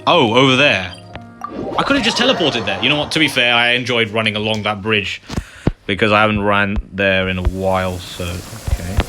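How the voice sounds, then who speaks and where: A man's voice: on the low side, fairly quick, over music. A man casually commenting while playing through a game's story quest, muttering asides to himself. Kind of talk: casual let's-play commentary. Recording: commentary over loud game audio.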